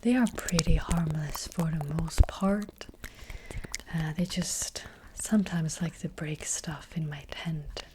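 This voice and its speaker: Woman doing a gentle witch fortune teller for asmr, slow breathy whisper, hesitant, faint noise behind. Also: deep voice. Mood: hushed, hesitant. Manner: slow, hushed, hesitant, unhurried. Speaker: ASMR roleplay performer. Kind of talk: soft-spoken whispered ASMR roleplay. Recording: close-up with faint background noise.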